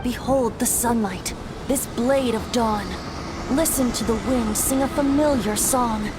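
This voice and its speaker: Woman acting a high-voiced earnest mystical guide. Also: a little slow, noisy background, natural voice. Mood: tender solemn chant. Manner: solemn, poetic incantation. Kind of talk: dramatic video game acting.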